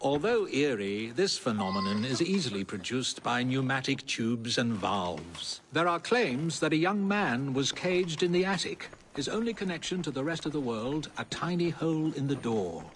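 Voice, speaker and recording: A man playing a genteel, theatrical Victorian gentleman in a video game, telling ghost stories in florid, articulate speech. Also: mid-range voice, animated, normal speed, hardly any pauses, music in the background, natural voice. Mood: theatrical, eerie. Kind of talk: dramatic ghost-story narration in a video game.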